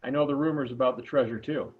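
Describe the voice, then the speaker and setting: A tinny, narrow-band call carries a man playing a male high school teacher. He is exasperated yet game, mid-pitched, in a plain amateur table read. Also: fast, husky, natural voice. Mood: confiding. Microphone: video call. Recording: tinny phone-like video call.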